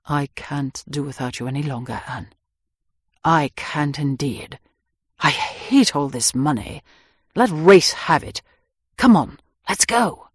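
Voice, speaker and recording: A woman doing an impetuous young lover, low and urgent. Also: expressive, slow pace, no room sound, studio quality, full character voice. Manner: urgent, rough, pleading. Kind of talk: audiobook character voice.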